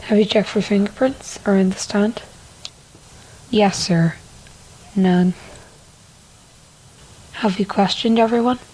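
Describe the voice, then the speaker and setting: A woman playing police in an amateur radio drama about a theft, with deliberate, scripted delivery. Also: mid-range voice, steady tone, a little mellow, slightly rough, rough recording. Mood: serious.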